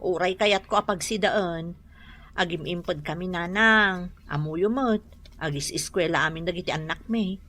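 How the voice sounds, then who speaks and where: A woman's voice: lowish voice, normal speed, a bit bright. A woman playing a nagging, proud, hardworking mother with an Ilocano accent in a radio comedy drama. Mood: plaintive. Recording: music behind the voice.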